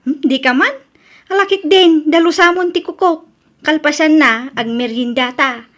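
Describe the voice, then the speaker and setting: A woman's voice: sits high, unhurried, fairly bright tone. A woman with an Ilocano accent playing a motherly, hospitable, proud older village auntie in a comedy radio drama, coaxing and homely.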